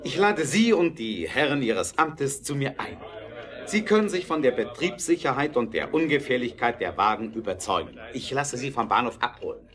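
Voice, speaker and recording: A man acting a determined, practical inventor in a German radio play, measured, persuasive and drily ironic. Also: mid-range voice, lively intonation, a bit bright, natural voice.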